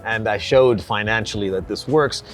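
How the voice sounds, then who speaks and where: A forty-year-old man from Hong Kong, a personal trainer, chatting in an energetic, emphatic way. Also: lowish voice, normal speed, soft background music. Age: 40s. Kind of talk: casual chat.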